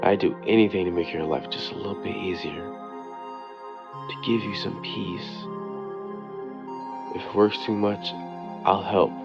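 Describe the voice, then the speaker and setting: A man playing a devoted boyfriend who leaves an intimate late-night voicemail, speaking earnestly and tenderly with hesitant pauses. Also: low voice, fairly even intonation, creaky, lo-fi sound. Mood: loving, reassuring. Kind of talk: intimate boyfriend audio roleplay, slow monologue. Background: soft new-age music.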